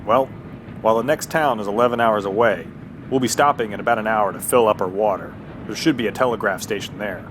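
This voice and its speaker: An actor playing a stern, blunt train conductor in an Old West audio drama, gruff, authoritative and folksy. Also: male voice, quick pace, natural voice. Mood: businesslike. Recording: clear vehicle-like background rumble.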